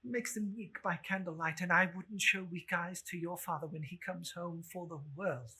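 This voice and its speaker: A performer voicing a tender, weary mother in mourning, gentle and halting, in a story reading. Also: mid-range voice, slightly rough, exaggerated voice. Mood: subdued sorrow.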